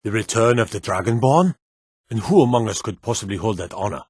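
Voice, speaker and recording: An actor playing a gruff town guard in a fantasy video game, speaking in terse, emphatic, gossipy remarks. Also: male voice, on the low side, animated, brisk, a little mellow, dry recording, clean studio sound. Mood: shocked. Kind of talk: fantasy game character barks.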